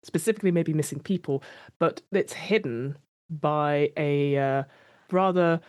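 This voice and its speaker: Low-voiced woman improvising at a tabletop game. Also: unhurried, a little mellow, smooth. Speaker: tabletop roleplaying player. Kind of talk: casual improvised scene description.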